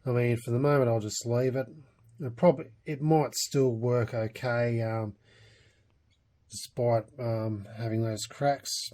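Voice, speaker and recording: A man's voice: medium pitch, fairly even intonation, a little slow, mellow. A man with an Australian accent giving a casual, unscripted show-and-tell, hesitant and meandering as he goes. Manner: hesitant, meandering delivery with trailing thoughts. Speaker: hobbyist vlogger.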